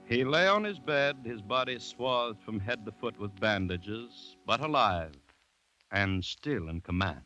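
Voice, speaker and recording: An actor playing a grave commissioner who witnessed events, telling the tale in a measured, solemn voice in 1940s radio drama style. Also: male voice, on the low side, animated, bright, forceful tone. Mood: solemn, relieved. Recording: dull vintage radio recording. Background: music.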